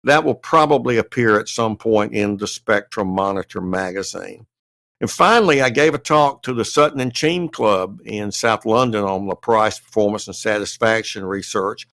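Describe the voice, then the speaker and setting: A man's voice: lowish voice, normal speed, creaky, fairly bright tone, dry recording, studio quality. An American man giving a detailed, methodical update as a panellist on a casual podcast. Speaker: podcast panellist and amateur radio writer.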